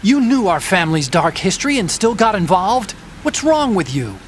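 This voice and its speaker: A man's voice: high voice, big pitch swings, normal speed, slight background noise. A man playing an anxious, hesitant, emotional older brother in a dramatic video game cutscene. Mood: agitated. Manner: hesitant, agitated.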